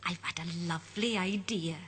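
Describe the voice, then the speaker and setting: A woman doing a kindly old woman, soft and hushed. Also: fairly low pitch, moderate pace, slight background noise, voice pushed down, close to an everyday voice.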